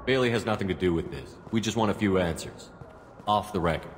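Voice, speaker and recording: Man as a deep-voiced, resolute commander. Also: a little fast, big-room sound, a little hiss or hum, lightly acted. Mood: pressing. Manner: terse, direct, controlled. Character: steady, resolute male military commander, firm but fair. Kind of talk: video game voice acting.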